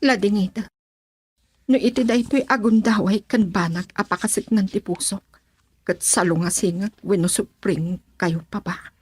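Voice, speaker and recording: A woman's voice: medium pitch, normal speed, darkish tone, slightly rough, dry recording, close to an everyday voice. A woman playing a headstrong, insistent young bride-to-be who defies her father in an Ilocano radio drama. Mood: defiant.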